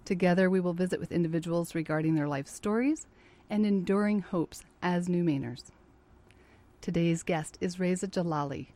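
A woman hosting an interview show, introducing her guest and asking questions in a measured, clear manner. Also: fairly low pitch, warm tone. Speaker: interview host. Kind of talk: radio-style interview.

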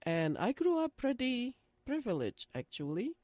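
A woman's voice: on the high side, sing-song, normal speed, darkish tone, no room sound. An older woman from Indonesia, speaking hesitantly with many fillers and frequent laughs in an oral history interview. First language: Dutch.

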